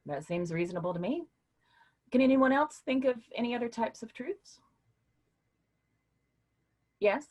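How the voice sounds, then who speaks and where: Brief: actress as a husky, low-voiced woman professor, patient and questioning, lively delivery. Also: unhurried, long pauses. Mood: teacherly. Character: woman college philosophy professor, encouraging and patient. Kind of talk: staged play reading.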